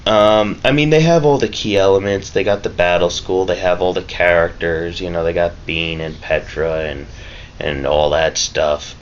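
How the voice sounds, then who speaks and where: Male vlogger, notably deep voice, rambling and easygoing, recorded on a dull lo-fi mic. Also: fairly even intonation, moderate pace. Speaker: vlogger reviewing a film. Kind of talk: casual, rambling vlog review. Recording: dull lo-fi mic with clear background noise.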